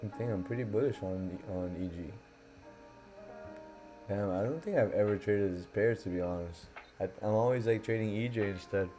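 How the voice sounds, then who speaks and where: A young man from Miami with a lowish, soft and mellow voice, hosting a laid-back live stream. He thinks out loud in a loose, rambling way, full of "you know" and "um". Faint lo-fi music plays quietly behind him. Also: moderate pace. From Miami, USA. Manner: loose, thinking aloud, heavy fillers. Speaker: livestream host, forex trader. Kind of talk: casual live-stream commentary. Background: faint lo-fi background music.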